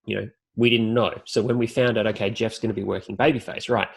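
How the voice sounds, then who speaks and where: A man's voice: lowish voice, flat delivery, fast talker, vocal fry, husky. An Australian man speaking as a podcast guest and announcer, explaining things articulately and building his points in lists. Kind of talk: podcast interview talk.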